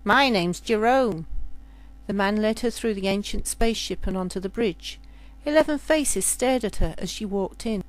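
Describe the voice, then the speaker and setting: A woman's voice: medium pitch, normal speed, darkish tone, rough recording. A woman storyteller reading a scripted science fiction tale as a narrator.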